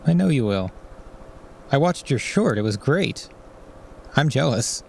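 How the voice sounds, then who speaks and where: A man's voice: mid-range voice, big pitch swings, unhurried, vocal fry, darkish tone. Weary, kind office man, noisy background. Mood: warm, encouraging. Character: weary, kind, overworked male office colleague. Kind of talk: naturalistic audio drama acting.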